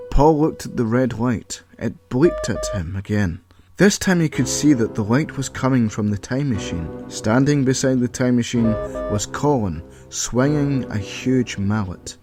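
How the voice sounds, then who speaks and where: A man narrating an original audio story in an even, measured reading voice. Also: medium pitch, music in the background.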